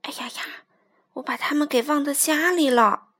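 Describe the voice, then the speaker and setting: Woman doing a kind high-voiced little schoolboy. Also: expressive, a little slow, fairly bright tone, heavily acted. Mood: flustered. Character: kind, absent-minded young schoolboy.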